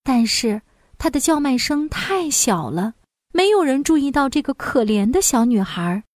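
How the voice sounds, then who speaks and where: A woman storyteller reading a scripted fairy tale for young children in an expressive manner. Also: high-pitched, normal speed, no room sound, studio quality.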